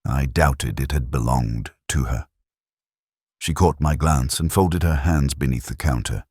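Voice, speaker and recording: A man giving a measured, deliberate first-person reading of a literary detective story, as its narrator. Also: a bass voice, a little mellow, husky, no echo, clean studio sound.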